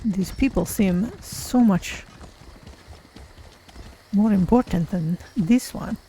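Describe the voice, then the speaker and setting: A woman voicing a mild, thoughtful cat-person mage in a measured, formal way, role-playing through a game stream. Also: mid-range voice, warm tone, slight background noise, lightly acted, rough recording. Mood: musing. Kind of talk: character-voiced game stream roleplay.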